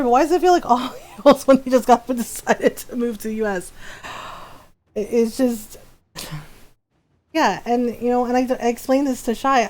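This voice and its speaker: Casual American woman streamer telling personal stories. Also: on the high side. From United States. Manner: animated retelling with acted-out quoted dialogue. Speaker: live streamer.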